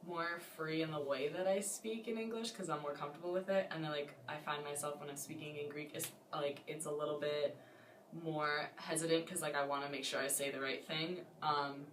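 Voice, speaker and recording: Young woman, really low voice, casual interview, sounds far from mic. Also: steady tone, a little fast. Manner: filler-heavy "like". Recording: echoey, distant, faint noise.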